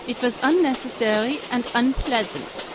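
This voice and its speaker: A stern female German spy, played by a woman in a comedy audio drama, scolding in a fairly high voice. She sounds irritated, with clear train noise behind her. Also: normal speed, natural voice. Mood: annoyed. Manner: sharp, scolding.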